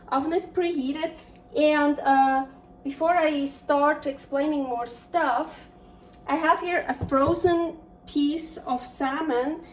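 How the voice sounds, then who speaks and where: A woman's voice: high-pitched, far from the mic, a little hiss or hum, rough recording. A young woman from Switzerland with a Swiss accent, hosting a casual cooking vlog in her home kitchen, chatting in a rambling way with many fillers and restarts. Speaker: cooking show host.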